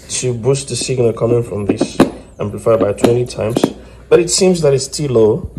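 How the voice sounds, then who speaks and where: A man giving an informal, step-by-step hands-on demonstration as the host of an electronics tutorial. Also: mid-range voice. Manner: explanatory, step by step. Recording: faint background noise.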